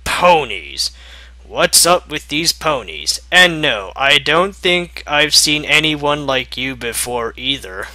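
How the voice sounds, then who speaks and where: Guy doing brash young cartoon pony girl, excitable, super bright and sharp, kinda slow delivery. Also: fairly high pitch, no room sound, heavily acted, rough recording. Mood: brash, curious. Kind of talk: fan fiction character reading.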